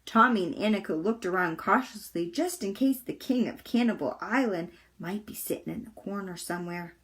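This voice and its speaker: A woman reading a children's chapter book aloud with deliberate, expressive storytelling. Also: medium pitch. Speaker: volunteer storybook reader.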